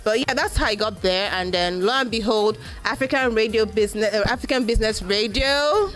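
A woman's voice: fairly high pitch, fairly quick, faint music behind. A Nigerian woman whose first language is Igbo, telling her story in a live interview with lots of fillers and acted-out quotes. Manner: animated storyteller, acts out quoted voices, frequent fillers. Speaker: podcast host being interviewed.

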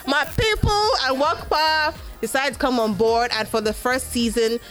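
Nigerian woman, igbo first language, chatty and animated on a panel, faint music in the back. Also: high-pitched, moderate pace. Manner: animated storyteller, acts out quoted voices, frequent fillers. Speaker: podcast host being interviewed. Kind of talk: live panel interview.

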